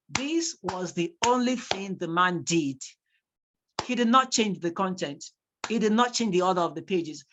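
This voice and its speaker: A Nigerian instructor whose first language is Yoruba gives a classroom lecture in English with a Nigerian accent. The delivery is animated, and key points are repeated for emphasis. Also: mid-range voice, noisy background.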